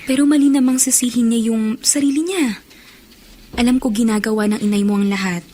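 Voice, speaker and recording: A woman's voice: on the high side, steady tone, brisk, mellow, slight background noise, natural voice. An actress playing a frank, sympathetic young girlfriend, chiding yet reassuring in radio drama style. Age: young adult. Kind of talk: Filipino radio drama acting.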